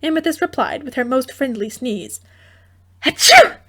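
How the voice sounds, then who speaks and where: A woman's voice: on the high side, moderate pace. A woman narrating a comic fairy tale audiobook in a theatrical, droll manner with wry asides.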